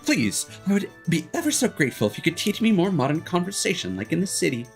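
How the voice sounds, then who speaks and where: Casting: male player doing shy, formal, overeager young man for game dialogue; raised, lively pitch. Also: fast, vocal fry, faint music behind, exaggerated voice. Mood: earnest pleading. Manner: polite, earnest, overwrought. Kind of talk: video game dialogue read aloud.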